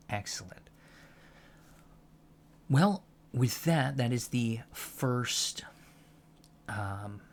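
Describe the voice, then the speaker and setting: Soft-spoken, creaky low-voiced alien lecturer played by a man. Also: a little hiss or hum. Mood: gently formal. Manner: hesitant, gently formal, deliberate pauses. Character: male alien college lecturer on human studies, earnest and measured.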